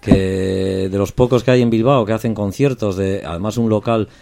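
A man's voice: lowish voice, fairly even intonation, moderate pace, creaky, warm tone. A middle-aged man, a band's drummer, chatting and joking in a radio interview. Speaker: band drummer.

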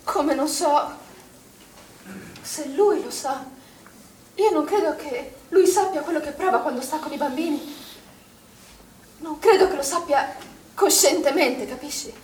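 Agitated, high-voiced anguished woman in charge. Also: darkish tone. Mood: troubled, doubting. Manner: agitated, halting, repeating phrases. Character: anguished, doubt-ridden woman in charge of a swimming pool. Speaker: stage actress. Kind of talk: stage drama acting, emotional monologue. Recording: roomy, distant theatre recording, faint noise.

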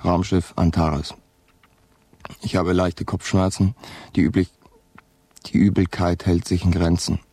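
An actor playing a groggy astronaut with a sluggish tongue, haltingly dictating a formal mission log in a science fiction radio drama. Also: male voice, low-pitched, breathy edge, dry recording, full character voice. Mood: groggy, factual. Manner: halting, stumbling over words, flat reporting. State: sluggish tongue after long sleep.

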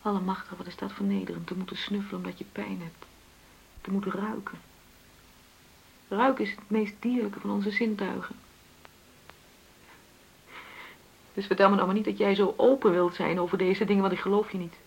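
Husky middle-aged wife in a radio play, hurt, pointed, keeps pushing and questioning. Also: female voice, mid-range voice, level tone, brisk, poor audio. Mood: indignant. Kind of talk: Dutch radio play acting.

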